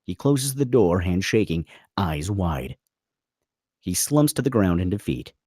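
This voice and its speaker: Low male narrator, animated intonation, dry close sound, dramatic screenplay reading, stage directions. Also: unhurried, husky, no room sound. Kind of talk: dramatic scripted narration at a table read.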